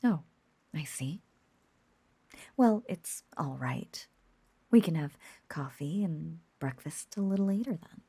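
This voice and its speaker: A woman acts out a possessive yandere witch in an intimate audio roleplay. Her voice is low-leaning and husky, slipping into breathy half-whispers. She takes her time, coaxing and cooing with sweetly unhinged devotion. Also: animated, slow pace, long pauses, heavily acted. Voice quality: soft, breathy.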